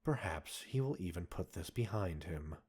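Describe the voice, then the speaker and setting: A man playing a cold, condescending villain scientist in an audio roleplay, a possessive captor speaking in a measured, mocking, formal way. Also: low-pitched, lively intonation, darkish tone, husky. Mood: cold, controlled. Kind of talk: dramatic audio roleplay acting addressed to listener.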